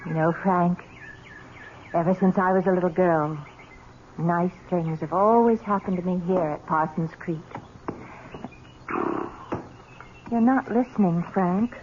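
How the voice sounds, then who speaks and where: An actress playing a bossy, teasing young sweetheart in a 1970s radio drama. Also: female voice, lowish voice, fairly even intonation, normal speed, natural voice. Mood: possessive. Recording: tinny, noisy old radio recording.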